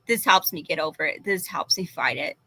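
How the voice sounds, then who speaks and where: A woman's voice: sits low, expressive, a little fast, sharp tone, breathy edge, dry recording. A congested woman co-hosting a casual chat, who speaks in a playful, emphatic way. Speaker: co-host.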